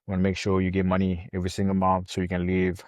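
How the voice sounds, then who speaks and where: Low, even-toned man. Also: fast, dry recording, studio quality. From France. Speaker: podcast guest, financial planner. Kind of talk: conversational interview answers.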